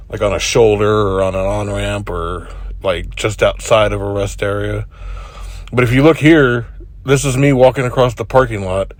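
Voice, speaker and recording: A truck driver with a head cold talking in a laid-back, joking way with plenty of swearing, in a casual driving vlog. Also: male voice, on the low side, no room sound. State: congested with a cold.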